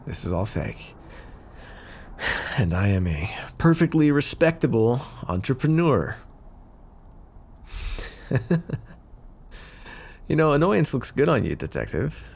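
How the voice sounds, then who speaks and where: A man's voice: medium pitch, a little mellow, slight background noise. A man playing a smug, flirty, scheming mafia boss in an ASMR roleplay, teasing and theatrical. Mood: pleased, teasing. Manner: teasing, smooth-talking, theatrical. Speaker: voice actor in audio roleplay. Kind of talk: ASMR roleplay acting, addressed to listener.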